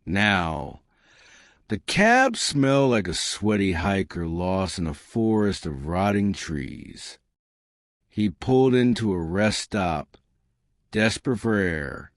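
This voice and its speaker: A man narrating a scripted comic story with dramatic, deadpan delivery and deliberate pauses. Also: lowish voice, no echo, studio quality.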